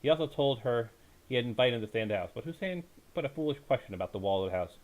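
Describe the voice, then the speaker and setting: A man's voice: mid-range voice, expressive, a little mellow, low-quality recording. A man reading a bedtime story aloud in a dramatic storytelling style, stumbling now and then over the text. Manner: halting, stumbles and corrects himself while reading. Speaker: storyteller, reader.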